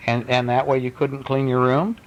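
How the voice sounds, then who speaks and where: A man reading a bedtime story at home in a conversational, expressive way, with casual asides to a child. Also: normal speed, lo-fi sound. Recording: noisy home recording with low rumble. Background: small child chiming in.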